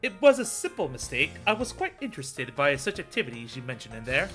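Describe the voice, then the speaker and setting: Man voicing a stiff, formal prosecutor, expressive pitch. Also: fast talker, over music. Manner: stiff, precise, pompous. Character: composed, formal, exasperated male prosecutor. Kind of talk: amateur video game dub.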